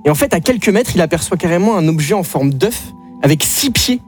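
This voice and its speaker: A male radio co-host rattles through his story at high speed in a fairly high voice. He sounds animated and casual, with light music playing underneath. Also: a little fast.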